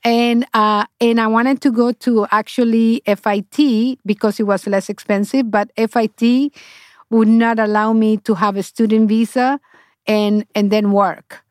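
A middle-aged woman from Honduras with a Honduran accent and native Spanish, speaking haltingly as an interview guest telling her own story. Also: on the high side, fairly even intonation, no echo, studio quality. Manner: halting, searching for words. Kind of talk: conversational personal storytelling.

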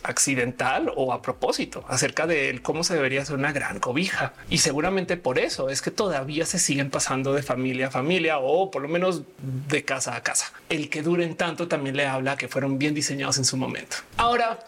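Husky-voiced Colombian-born woman explaining. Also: rumbling low, brisk. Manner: chatty, addresses viewers directly. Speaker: channel host. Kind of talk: informal explainer video.

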